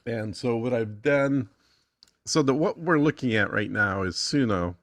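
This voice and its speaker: A man from Texas chatting casually as a guest, explaining things hesitantly and thinking aloud with pauses. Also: mid-range voice, lively intonation, a bit bright, no room sound. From Texas, United States.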